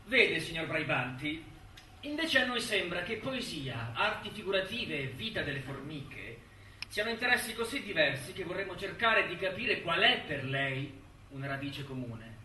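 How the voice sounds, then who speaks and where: An actor playing an insistent, sarcastic court examiner in a stage drama, formal, deliberate and needling. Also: male voice, on the high side, very animated, pushed and bright, full character voice. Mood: sardonic, insistent. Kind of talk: theatrical courtroom interrogation. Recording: roomy, distant stage recording, faint noise.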